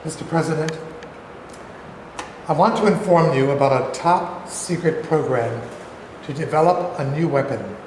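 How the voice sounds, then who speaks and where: Man acting formal high-voiced statesman, echoey hall. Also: normal speed, pauses often, darkish tone, natural voice. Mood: formal, explaining. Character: distinguished, loyal, deferential government secretary briefing the president. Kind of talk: staged play reading. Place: large room at a live staged reading. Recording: very echoey hall, far off, faint noise.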